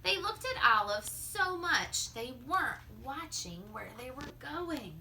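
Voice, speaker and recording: A woman reading a children's story aloud like a teacher, expressively, drawing out words and dropping into hushed asides. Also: sits high, big pitch swings, a little slow, vocal fry, a little hiss or hum.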